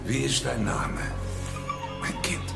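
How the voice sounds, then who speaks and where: An actor playing a fanatical, menacing preacher in a radio drama, speaking solemnly and ceremonially. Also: male voice, medium pitch, wildly swooping pitch, creaky, heavily acted. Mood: solemn zeal. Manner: slow, ceremonial, chanting delivery. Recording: echoey space with music behind.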